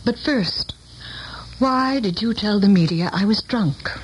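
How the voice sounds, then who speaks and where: Female actor as reproachful former student, medium-pitched and dramatic, earnest intensity, radio drama with slight noise. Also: animated, measured pace, close to an everyday voice. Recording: noisy old radio broadcast recording.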